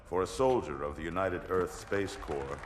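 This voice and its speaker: An actor playing a dignified, courteous senior military officer giving a formal ceremonial address in a game. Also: male voice, low-pitched, lively intonation, normal speed, very noisy. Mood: formal, composed. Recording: echoey hall, music behind.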